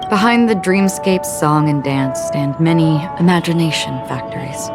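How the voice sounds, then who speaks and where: An actress voicing a calm, forgetful young swordswoman in a game, speaking in a measured, solemn way over game music. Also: close to an everyday voice. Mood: quiet, wistful. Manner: measured, low, solemn delivery. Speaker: wandering swordswoman. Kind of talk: game voice acting. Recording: game audio with clear music behind.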